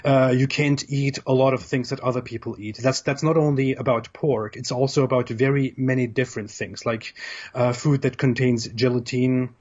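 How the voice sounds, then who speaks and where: A man's voice: medium pitch, steady tone, normal speed, darkish tone, muffled recording. A man from Germany with a German accent, a guest talking over a video call, laughing easily and often repeating words as he starts a sentence.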